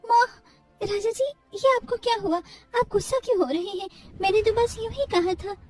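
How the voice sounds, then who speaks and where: A woman's voice: very high voice, moderate pace, far from the mic, soft background music. A woman voicing a meek, suffering young wife and devoted mother in a cartoon story, pleading and earnest. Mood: hurt, tearful.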